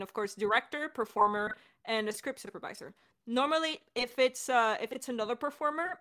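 A woman co-hosting a casual question-and-answer chat as a director, explaining things in an animated way. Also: mid-range voice. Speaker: podcast director and co-host.

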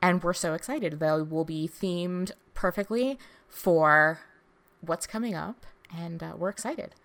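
A woman co-hosting a casual podcast chat, talking in a relaxed, friendly way. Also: lowish voice, measured pace, vocal fry. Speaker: podcast co-host.

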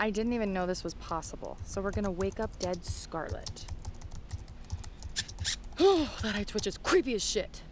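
An actress playing a brash, sarcastic, excitable female sidekick with a blunt manner in an audio drama. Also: medium pitch, normal speed, pauses often, a little hiss or hum, close to an everyday voice. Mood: sardonic. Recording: loud music under dialogue.

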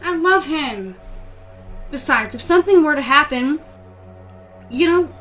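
A calmly sinister young daughter , played by a woman, in a horror radio play. Her voice sits rather high and moves widely in pitch, unhurried and soft-spoken. Soft music runs beneath her lines. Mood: pleading, defiant. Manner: soft, measured, quietly menacing. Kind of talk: audio drama acting.

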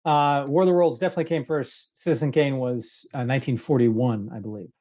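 A man with a middle-pitched voice sits on a podcast quiz panel. His delivery is casual and conversational, suited to banter and anecdotes among colleagues. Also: fairly quick, warm tone.

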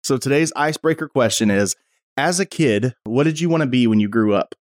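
A man's voice: mid-range voice, lively intonation, fairly quick, no room sound. Guy hosting a podcast, chatty and easygoing, dry close mic sound. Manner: friendly, chatty, reads ad copy smoothly. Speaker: podcast host. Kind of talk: casual interview chat.

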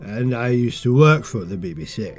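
A man voicing a pompous, self-important British royal ex-broadcaster, halting and mumbling as he rambles through a comedic podcast movie review in a British English accent. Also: medium pitch, expressive, soft background music, lightly acted. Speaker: podcast host.

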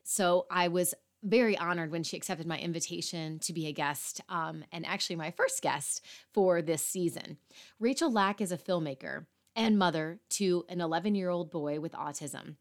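A woman hosting a parenting podcast, slightly hoarse, talking earnestly with fillers. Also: on the low side, normal speed. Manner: earnest, apologetic asides with fillers. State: slightly hoarse after illness. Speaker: podcast host. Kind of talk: podcast intro and interview.

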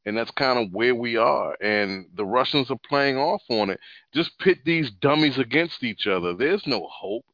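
A man's voice: medium pitch, lively intonation, normal speed, no room sound. A man on a casual podcast, speaking in an earnest, reflective way. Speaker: podcast co-host. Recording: dull lo-fi sound.

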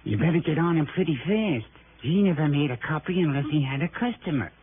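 A man's voice: fairly high pitch, animated, brisk, lightly acted. A man playing a sly, grasping small-time informer, streetwise and wheedling, in an early 1960s radio drama. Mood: confiding. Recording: hissy, muffled old radio broadcast.

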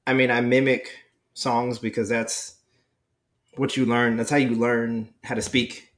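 A man's voice: mid-range voice, level tone, a little slow, a bit bright. A young man with a nasal voice co-hosting a casual podcast chat, self-deprecating and full of restarts. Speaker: podcast co-host.

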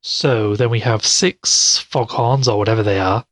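An actor playing a skeptical investigative podcast host in an audio drama, haltingly thinking aloud. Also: male voice, on the low side, fairly bright tone, no echo. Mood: puzzled, skeptical. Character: skeptical male investigative show host puzzling over clues.

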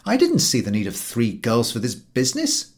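A man narrating a humorous story as an audiobook reader, in an expressive scripted style. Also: medium pitch, moderate pace.

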